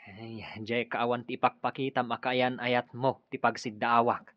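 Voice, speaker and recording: A man's voice: mid-range voice, level tone, moderate pace, no echo, muffled recording, close to an everyday voice. An actor playing a teasing, laid-back male buddy in a radio drama, full of banter. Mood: mock offended.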